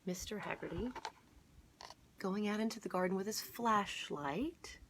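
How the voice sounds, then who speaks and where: A middle-aged woman hosting a children's storytime, reading a picture book aloud and pausing to point out details for young viewers. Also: medium pitch, a bit bright, a little hiss or hum. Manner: explanatory, inviting listeners to look closely and notice details. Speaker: children's storytime host at a museum.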